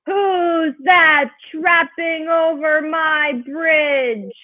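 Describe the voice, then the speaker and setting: A woman's voice: sits high, fairly even intonation, drawn-out words, phone-quality sound, pitched above the performer's own voice. A woman voicing a mean, ugly storybook troll with a roaring, menacing delivery. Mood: roaring, suspicious.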